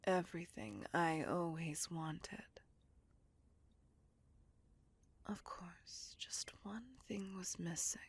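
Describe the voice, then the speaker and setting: A woman playing a seductive, possessive, coldly vengeful vampire in a slow, intimate, breathy whispered ASMR roleplay. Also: lowish voice, heavily acted. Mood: proud, possessive. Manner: slow, intimate, deliberate, savouring words.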